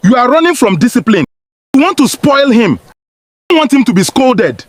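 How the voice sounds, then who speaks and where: A man's voice: on the high side, animated, moderate pace, dry recording, clean studio sound. An actor playing a stern, proud father and family head in a village folktale. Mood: angry.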